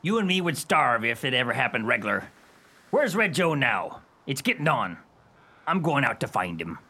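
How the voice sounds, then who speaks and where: A sentimental cutthroat of a one-eyed pirate. The voice is rough and raspy with big theatrical swings of pitch, thick with pirate dialect for a comic radio play. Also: male voice, sing-song, moderate pace, bright, forceful tone, full character voice. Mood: blunt.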